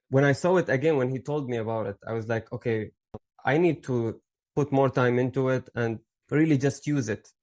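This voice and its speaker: A man from Syria in his thirties, with a mid-pitched voice, speaks as a podcast guest. He rambles through his story, stopping and restarting often and leaning on fillers. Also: a little mellow. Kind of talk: casual interview storytelling.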